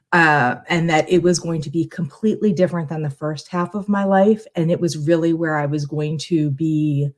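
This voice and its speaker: A middle-aged woman chatting casually as a guest on a live stream, talking in a reflective, long-winded way. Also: low voice. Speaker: guest tarot reader bringing a question.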